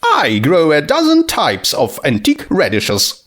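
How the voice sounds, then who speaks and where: A man's voice: on the high side, huge pitch swings, measured pace, fairly bright tone, pitched above the performer's own voice, heavily acted. A man voicing a proud, friendly female pony market seller, chirpy and matter-of-fact, in a storybook reading. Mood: cheerful, proud.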